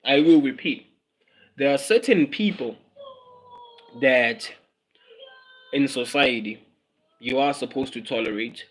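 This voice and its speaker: A man's voice: medium pitch, slow pace, long pauses. A South African man from South Africa hosting a casual livestream, musing aloud, repeating his phrases and dropping in Zulu words. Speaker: livestream host.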